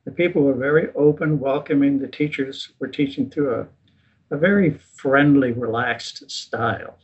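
An older man in a casual interview, recounting his experiences in a relaxed, self-deprecating way. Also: medium pitch, a little slow, breathy edge. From United States. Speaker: guest. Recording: dull lo-fi recording.

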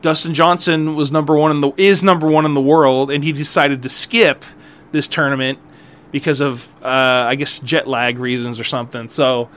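Middle-aged male podcast host, slightly high-pitched, loose and rambling, jokey and self-deprecating. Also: little pitch movement, normal speed, a bit bright, clear, resonant tone. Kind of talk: casual solo podcast chat.

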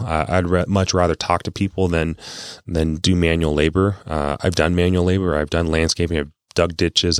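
A man's voice: sits low, no room sound, clean studio sound. A man talking in a chatty, informal, rambling way, as an investment adviser hosting his own casual podcast. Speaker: investment adviser and show host. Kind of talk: casual monologue on a financial podcast.

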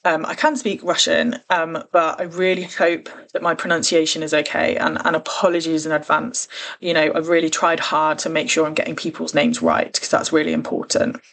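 A woman's voice: deep voice, flat delivery, normal speed, vocal fry, a bit bright. A woman hosting a true crime podcast, talking in an earnest, careful and conversational way. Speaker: podcast host.

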